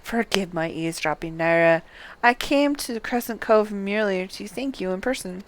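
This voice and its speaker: A woman's voice: medium pitch, moderate pace, close to an everyday voice, low-quality recording. A woman voicing a righteous, formal soldier in a fantasy game, speaking in a stern, declamatory way. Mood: resolute, grave.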